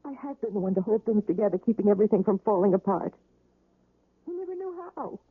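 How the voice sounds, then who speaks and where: An actress playing a weary, despairing wife recovering from surgery, mournful and yearning, in a radio drama. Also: female voice, mid-range voice, sing-song, fast, husky, phone-quality sound. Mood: anguished, pleading. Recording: roomy, band-limited old radio recording.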